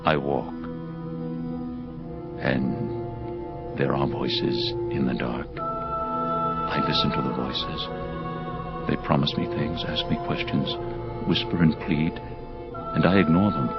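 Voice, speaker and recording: An actor playing a proud, intense angel captain of the heavenly host in an audio drama, speaking in a formal, stately way. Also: male voice, rumbling low, fairly even intonation, slow, long pauses, heavily acted. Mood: brooding, proud. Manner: formal, stately, contraction-free speech. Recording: muffled lo-fi audio drama with music. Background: prominent music.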